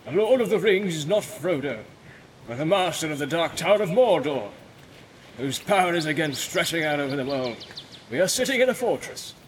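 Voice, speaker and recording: A man reading aloud, voicing an old male wizard who speaks solemnly and low in volume. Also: sing-song, a little fast, slight background noise. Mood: grave. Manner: solemn, hushed, warning.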